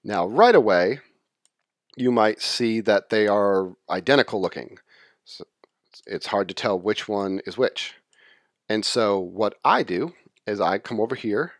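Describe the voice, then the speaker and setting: A man presenting an informal screen-share software tutorial, explaining conversationally and repeating key points for clarity. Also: lowish voice, no echo, clean studio sound.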